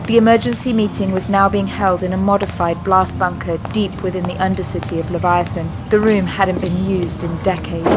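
A woman's voice: medium pitch, poor audio. Woman narrating science fiction over music.

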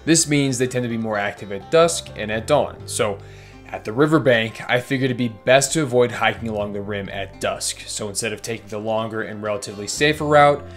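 A man's voice: fairly low pitch, fairly quick, a bit bright, faint music behind. A young man narrating a vlog in voice-over, candid and self-deprecating as he looks back on his mistakes. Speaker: fishing vlogger.

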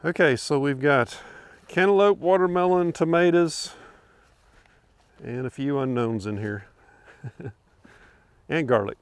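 Easygoing older man with a gravelly voice. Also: on the high side, measured pace, pauses often, no room sound. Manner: easygoing, rambling, with many fillers and chuckles. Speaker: homesteader showing his garden. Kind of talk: casual garden walkthrough. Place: outdoors in a backyard garden. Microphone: handheld camera, speaking from behind it.

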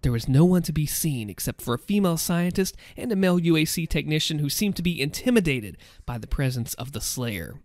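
A man hosting a gaming video, reading and commenting in a deliberate, theatrical narrator style. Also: fairly high pitch, expressive, darkish tone, no room sound.

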